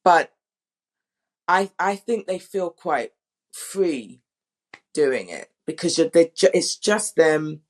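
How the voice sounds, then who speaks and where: A woman director in a casual panel talk, thinking aloud in a halting, conversational way. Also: sits low, animated, a little mellow. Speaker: audio drama director.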